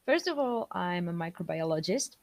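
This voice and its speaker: A young woman from Poland speaking English with a Polish accent in a casual interview, pausing often with fillers. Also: on the low side, very animated, no echo, faint music behind. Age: young adult. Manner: hesitant, many fillers, laughs between answers. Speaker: guest scientist.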